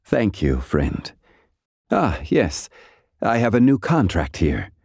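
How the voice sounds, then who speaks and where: A man voicing an ancient god in mortal guise for a video game, courteous and wistful, speaking in a measured, formal, contemplative way. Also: on the low side, wildly swooping pitch, darkish tone, breathy edge, no echo, clean studio sound, full character voice. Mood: composed, grateful. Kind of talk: scripted video game character voice lines.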